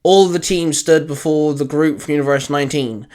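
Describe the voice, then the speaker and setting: A man hosting and narrating a fan fiction story in a dramatic, deliberate, emphatic scripted style. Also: on the high side, darkish tone. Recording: music under the voice. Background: music.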